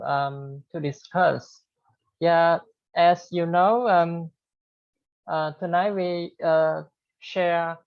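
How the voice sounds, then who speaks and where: Slow hesitant man. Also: on the high side. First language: Khmer. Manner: hesitant, many pauses and fillers. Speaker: speaker at an English learners' group. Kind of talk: informal talk to an online group. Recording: dull lo-fi recording.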